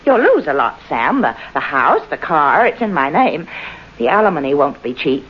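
An actress playing a bitter, sarcastic wronged wife in an old-time radio drama, needling with cutting politeness. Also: female voice, low-pitched, sing-song, normal speed, fairly bright tone, breathy edge, full character voice. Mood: icy, sarcastic. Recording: dull, lo-fi old radio recording.

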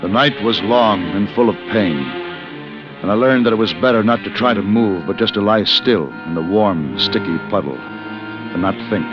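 An actor playing a stoic, duty-bound western gunfighter in a 1950s radio drama, speaking in a grave, measured way. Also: male voice, lowish voice, over music, close to an everyday voice. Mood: grim. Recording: muffled vintage radio recording.

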